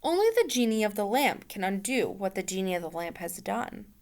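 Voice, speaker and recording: A woman's voice: medium pitch, very animated, a little fast. An obedient storybook genie acted by a woman reader who serves whoever holds the lamp. The delivery is formal and declaring.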